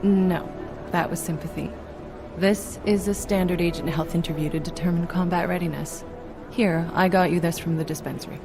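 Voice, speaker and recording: Composed, probing game doctor played by a woman, lowish voice, measured and dry, music underneath. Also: steady tone, close to an everyday voice. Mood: composed, concerned. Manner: measured, straight-talking, dry. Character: composed, probing female agency doctor evaluating an agent. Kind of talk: video game cutscene acting. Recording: music behind.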